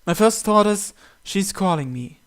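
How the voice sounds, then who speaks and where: A man's voice: sits high, expressive, unhurried, clear, resonant tone. A man reading a visual novel aloud as a gaming host, acting out the text and adding his own asides. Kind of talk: dramatic read-aloud with asides. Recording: music behind the voice. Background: game music.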